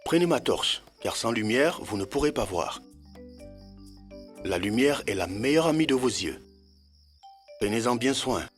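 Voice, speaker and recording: An actor playing a kindly farmer who gives slow, instructive advice in an educational children's cartoon dub. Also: mid-range voice, animated, soft background music, close to an everyday voice. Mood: kindly, advising. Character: kindly farmer working the land, neighbour to the children.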